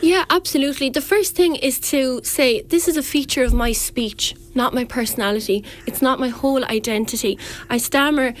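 A woman's voice: high voice, moderate pace, hardly any pauses, slight background noise. A woman speech therapist explaining as a radio guest, earnest and full of restarts and fillers.

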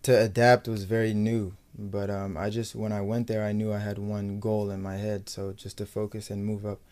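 Low, mellow young American man, even delivery. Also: moderate pace. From United States. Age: young adult. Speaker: professional footballer being interviewed. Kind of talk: casual sports interview.

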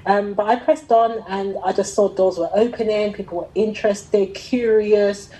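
A middle-aged woman telling her own story in an interview, talking conversationally in a reflective, halting way and often rephrasing. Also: mid-range voice, steady tone, dark tone, rough recording. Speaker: interviewee, fashion entrepreneur.

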